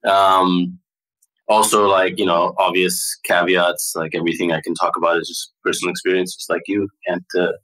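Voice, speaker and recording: Very deep, bright-toned thoughtful guest. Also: male voice, little pitch movement, moderate pace, rough recording. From Sudan. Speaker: podcast guest. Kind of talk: casual interview chat.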